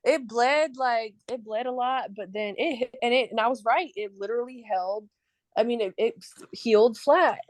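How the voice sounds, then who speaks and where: A woman's voice: a little high, moderate pace, no echo. Woman in her 30s telling stories on a podcast, lots of laughing, dry close sound. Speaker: podcast guest. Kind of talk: casual chat.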